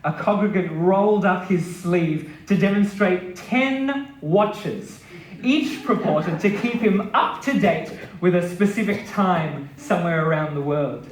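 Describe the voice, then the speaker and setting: A man giving a measured, deliberate dramatic reading of a scripted memoir, pausing between phrases. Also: sits high, slight background noise. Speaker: reader at a literary stage event. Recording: roomy, echoing live venue.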